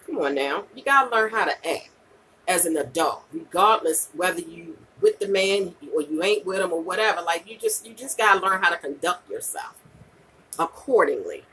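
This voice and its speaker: A woman's voice: fairly low pitch, lively intonation, normal speed, a bit bright, distant mic, a little hiss or hum, poor audio. A woman in her late forties giving candid, emphatic advice in a casual, slangy livestream chat, repeating her points for weight. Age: late 40s. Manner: emphatic and repetitive, punctuated with "okay?" and "you know". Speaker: vlogger and podcaster chatting live with viewers.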